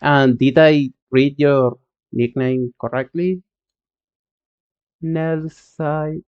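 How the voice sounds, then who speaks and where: An Argentine-accented man, a native Spanish speaker, streams himself coding and chats with viewers in a slow, unhurried way. His voice is smooth and clear, though the stream audio sounds dull and lo-fi. He talks in halting bits, full of fillers and restarts. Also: a little high, fairly even intonation. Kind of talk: live coding stream, reading chat.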